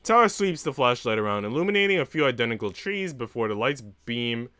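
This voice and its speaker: A man's voice: medium pitch, big pitch swings, a little fast, sharp tone, smooth, dry recording. A young man hosting a livestream, speaking informally into a close mic. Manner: slangy, swearing, informal. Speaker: livestream host. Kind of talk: casual livestream chat.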